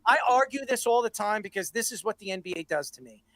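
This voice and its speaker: A man's voice: high voice, expressive, fast talker, a bit bright, no echo, poor audio. A man hosting a sports debate show, blunt and combative, given to ranting and swearing.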